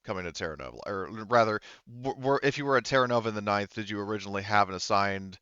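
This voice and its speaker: Man as Sheriff Wolf Jones, smooth halting lawman. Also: fairly low pitch, pushed and bright, no echo, muffled recording. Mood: official, courteous. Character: male sheriff, a civil marshal, folksy and formal.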